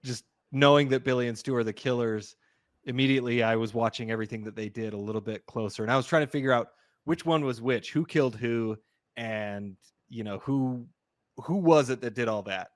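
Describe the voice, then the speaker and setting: A man with a medium-pitched voice co-hosts a film podcast. He speaks in casual conversation, recorded close and dry with little room sound. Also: a little fast, no echo.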